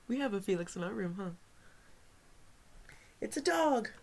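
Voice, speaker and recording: A woman reading a picture book aloud as a teacher to young children, pausing for asides to her listeners. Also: mid-range voice, expressive, darkish tone.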